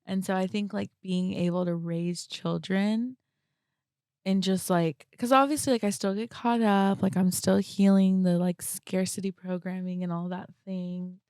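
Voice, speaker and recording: A young woman talking reflectively and casually as a podcast guest, halting often and peppering her speech with "like". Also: mid-range voice, steady tone, darkish tone, clear, resonant tone, no room sound, studio quality. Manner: halting, frequent "like" as verbal filler.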